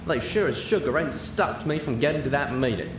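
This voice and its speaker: A man's voice: mid-range voice, expressive, fairly quick, roomy sound, recorded from a distance, noisy background, pitched above the performer's own voice, lightly acted. A man voicing a frank, folksy female farm pony with a Southern US accent, insistent in an audiobook story.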